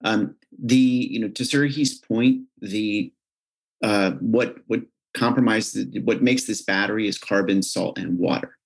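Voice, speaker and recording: An American man, a company executive, answering interview questions in a relaxed, conversational way, often saying "you know". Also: on the low side, unhurried, slightly rough, low-quality recording. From United States.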